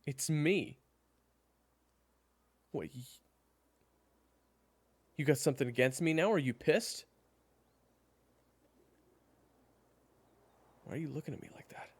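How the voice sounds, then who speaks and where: A man playing a worried, exasperated friend in an audio roleplay, speaking haltingly and naturalistically. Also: fairly high pitch, slightly rough. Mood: alarmed, hurt. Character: worried, exasperated male friend of an amnesiac, gently explaining. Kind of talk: audio roleplay acting, one-sided dialogue.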